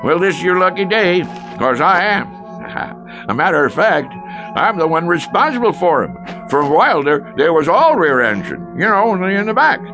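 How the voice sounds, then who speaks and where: A man playing a boastful small-town storekeeper in a radio drama, with an unhurried rural American drawl and a taste for tall tales. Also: high voice, sing-song, over music, rough recording. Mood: folksy, chatty.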